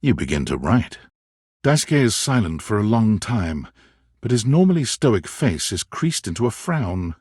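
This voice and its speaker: A man playing a wry, dramatic storyteller who narrates a romance video game in measured second person. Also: fairly low pitch, very animated, a little mellow, slightly rough, dry recording, studio quality, heavily acted.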